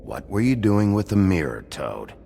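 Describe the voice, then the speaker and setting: A brooding, protective sheriff in a noir video game. The voice is exceptionally deep and gravelly, with lively swings in intonation. It sounds gruff and hard-boiled. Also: male voice, normal speed, slight background noise, full character voice. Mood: irritated.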